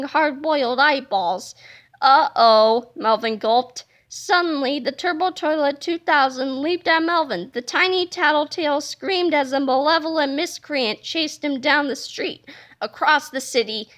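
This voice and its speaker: A woman reading a children's book aloud with an expressive storytelling delivery. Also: high voice. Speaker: reader.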